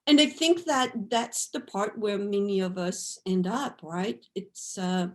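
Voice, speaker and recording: A woman's voice: mid-range voice, expressive, takes it slowly. Woman in her fifties. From France. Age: 50s. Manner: thoughtful, often adds "right?" and "you know". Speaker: guest artist. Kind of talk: reflective interview talk.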